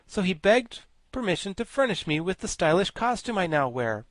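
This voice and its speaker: A man voicing a pompous, learned male insect gentleman with grand, formal speech. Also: high-pitched, big pitch swings, normal speed, no room sound, pitched above the performer's own voice. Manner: grandiloquent, formal, self-satisfied. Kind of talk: audiobook character voice.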